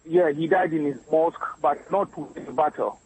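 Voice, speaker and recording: A man from Ghana with a Ghanaian accent who calls in to a radio show and speaks earnestly, pausing often with hesitant fillers. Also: fairly high pitch, darkish tone, a little hiss or hum, poor audio. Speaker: caller. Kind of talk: phone-in conversation.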